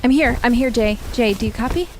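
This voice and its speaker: A woman's voice: fairly high pitch, a little fast, background noise, lightly acted. An American actress playing a tough, guarded female leader of a neighborhood militia in a naturalistic post-apocalyptic radio drama. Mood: guarded, tender.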